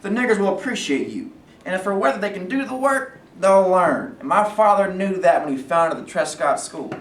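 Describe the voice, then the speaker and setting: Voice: mid-range voice, animated, moderate pace, lightly acted. Performer as earnest Southern son, echoey and distant. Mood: persuasive. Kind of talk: staged play reading. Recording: echoey room, distant, faint noise.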